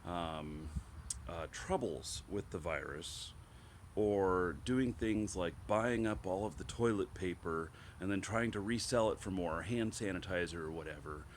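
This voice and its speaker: A man speaks in a low voice that is slightly stuffed up with a cold. He talks casually to his viewers as a show host, thinking aloud with halting, emphatic phrasing. Faint noise sits behind him. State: has a head cold, clearing throat. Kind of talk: casual opinion monologue to viewers.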